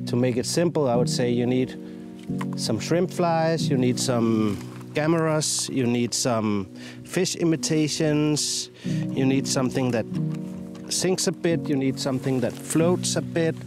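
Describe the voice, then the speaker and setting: A man in his thirties hosting a fishing vlog outdoors on a sea shore, talking in a relaxed, chatty, self-mocking way into a lapel mic. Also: mid-range voice, a little slow, loud music behind the voice. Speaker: fishing show host.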